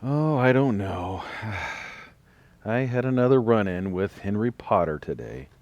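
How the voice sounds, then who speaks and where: Radio drama father: actor as tired, patient older small-town man, gentle, halting, wide swings of pitch. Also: expressive, no room sound, highs cut off. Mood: weary, fond.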